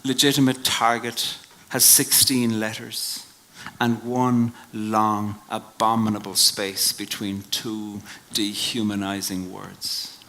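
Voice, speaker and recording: An Irish man giving a reflective live talk and reading his poems, in a measured way that drops to a hush at the ends of lines. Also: fairly low pitch, flat delivery. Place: live venue before an audience.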